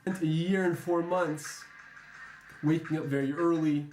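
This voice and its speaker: A man's voice: on the high side, steady tone, darkish tone. Reflective young man in a roomy space. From United States. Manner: measured, reflective, with frequent ums. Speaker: former soldier interviewee. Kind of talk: earnest interview testimony.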